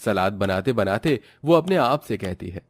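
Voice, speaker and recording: A man's voice: on the low side, lively intonation, moderate pace, no room sound. A man narrating a scripted moral story as its storyteller.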